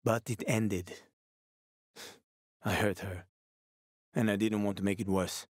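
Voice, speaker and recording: A man's voice: lowish voice, steady tone, takes it slowly, no room sound, studio quality. An actor playing a roguish, wisecracking male survivor in a video game, talking in a casual, teasing, sweary way. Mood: regretful, subdued.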